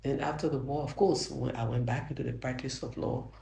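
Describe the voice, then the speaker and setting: An older woman with a Liberian accent and a soft voice, a judge answering interview questions earnestly, often restarting her sentences. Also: a bass voice, fairly even intonation, brisk, gravelly. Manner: earnest, frequent restarts, grows emphatic. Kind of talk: interview answers, reflective storytelling. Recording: roomy echo.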